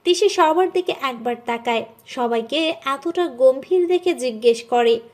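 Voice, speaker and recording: A woman narrator with a high voice. She delivers scripted storytelling, carrying the tale between the acted dialogue. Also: measured pace, a little mellow.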